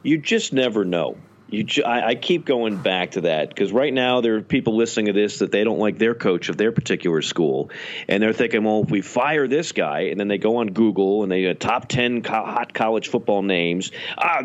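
A man with a somewhat low voice co-hosts a casual sports podcast. He talks in an animated, rambling way and often restarts his sentences. The recording sounds dull and lo-fi. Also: a little fast. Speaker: podcast co-host.

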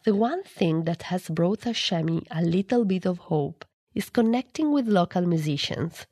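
A woman's voice: lowish voice, lively intonation, moderate pace, darkish tone, dry recording, studio quality. A woman reading scripted narration as a radio news reporter.

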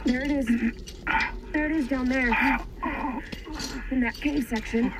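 An actress playing a brisk, alert field scout in an audio drama. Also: female voice, high voice, fairly even intonation, heavy background noise.

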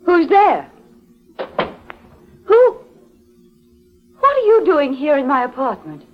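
A haughty, elegant society woman in a 1940s radio thriller. Her high voice swings widely and theatrically, with deliberate phrasing, on a dull old recording. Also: wildly swooping pitch, full character voice. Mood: alarmed, indignant. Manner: deliberate, dramatic delivery. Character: beautiful wealthy society woman, haughty, then terrified. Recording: dull vintage radio recording, music behind.

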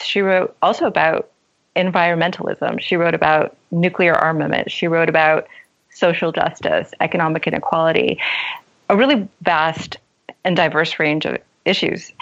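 A woman's voice: low-pitched, gravelly. A woman speaking as a novelist and guest, thoughtful and articulate in a reflective literary interview. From left Iran as a child and grew up in America. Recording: dull lo-fi sound.

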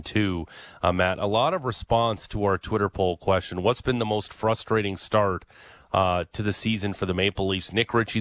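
A man's voice: fairly low pitch, a bit bright, no room sound. A man co-hosting a sports radio show, giving blunt opinions and repeating his points for emphasis.

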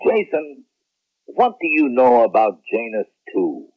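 A commanding space agency general in an old radio play, measured and official, thin tinny dry sound. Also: male voice, mid-range voice, huge pitch swings, no room sound, heavily acted. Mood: firm. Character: authoritative male general heading a space agency. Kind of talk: 1970s radio drama acting.

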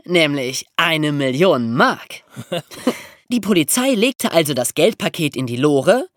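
Animated true-crime storyteller, dry close recording. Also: mid-range voice, dry recording. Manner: amused, colloquial storytelling. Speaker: podcast host telling true crime stories.

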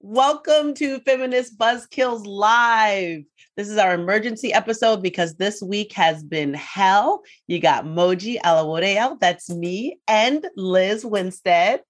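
A woman's voice: medium pitch, measured pace, hardly any pauses. A woman co-hosting a podcast, emphatic and wry, opening the show and introducing a guest. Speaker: podcast co-host. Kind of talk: casual chat, introducing a guest.

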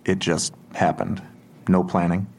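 An actor playing a blunt, skeptical male investigator in an audio drama, gravelly and terse, putting questions in a measured, matter-of-fact way. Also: low voice, flat delivery, a little mellow. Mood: probing, skeptical. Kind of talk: naturalistic audio drama acting.

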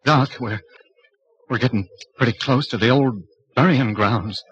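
A man's voice: medium pitch, measured pace, fairly bright tone, breathy edge. An actor playing a timid, superstitious small-town man in an old-time radio horror drama, folksy, nervous and hesitant. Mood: uneasy, reluctant. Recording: dull vintage radio recording.

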